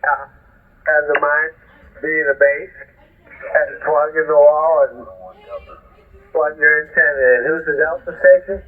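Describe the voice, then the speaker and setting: A man's voice: a little high, level tone, a little slow, pauses often. A man in casual conversation as an amateur radio operator over a shortwave link. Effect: shortwave radio transmission. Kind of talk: casual ham radio chat. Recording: narrow, lo-fi shortwave radio audio.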